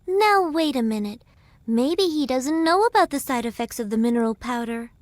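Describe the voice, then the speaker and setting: Very high-voiced, sweet young girl. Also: lively intonation, normal speed, no room sound. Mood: earnest. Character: sweet, earnest, innocent teenage girl in a fantasy game. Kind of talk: video game cutscene acting.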